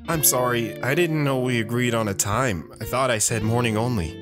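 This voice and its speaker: A man's voice: medium pitch, moderate pace, music in the background, lightly acted. A man playing an earnest, awkward teenage boy who tells his own story in an audio drama. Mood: unsure. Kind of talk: first-person dramatic narration with acted dialogue.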